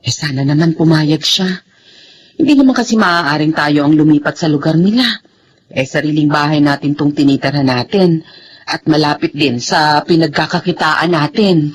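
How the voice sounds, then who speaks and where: An actor playing a worried, caring relative in a Filipino drama, speaking in an anxious, fretful way. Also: male voice, a little high, normal speed, slight background noise, close to an everyday voice. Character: worried, caring relative fretting over a niece. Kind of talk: Filipino radio-style drama acting.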